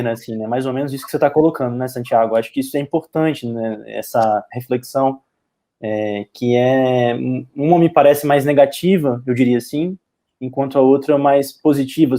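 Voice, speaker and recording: A Brazilian man with a mellow, relaxed voice at a middling pitch. He hosts a casual, thoughtful online interview, putting ideas forward tentatively and checking back with little tag questions. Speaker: interviewer and host. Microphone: video call.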